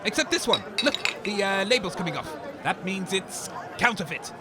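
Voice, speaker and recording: A man's voice: high-pitched, lively intonation, normal speed. A man playing a cheeky, boozy radio sidekick in a comedy, brash, bluffing and mocking. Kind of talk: comedy sketch acting. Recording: outdoor crowd noise.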